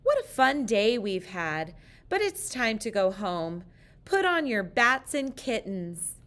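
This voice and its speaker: A woman reader voicing a silly, cheerful lady schoolteacher in a children's storybook, announcing things in a bright sing-song manner. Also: mid-range voice, very animated, slow. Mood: playful, sing-song.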